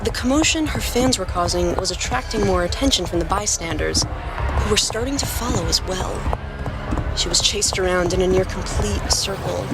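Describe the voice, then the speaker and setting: A woman reading scripted fiction aloud as the narrator of a story. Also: mid-range voice.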